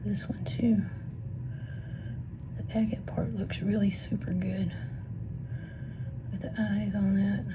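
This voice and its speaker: A woman's voice: mid-range voice, flat delivery, slow pace, pauses often. A woman with a Missouri accent, losing her voice, casually musing half to herself as she sorts stones for her vlog. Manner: muses half to herself, often drops to a whisper. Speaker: rock tumbling vlogger. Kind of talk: casual off-the-cuff commentary. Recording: noisy room ambience.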